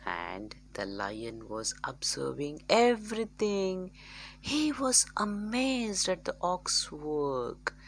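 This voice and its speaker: A woman storyteller narrating for children in an expressive, dramatic way, addressing young listeners directly. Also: fairly low pitch, slow pace, gravelly.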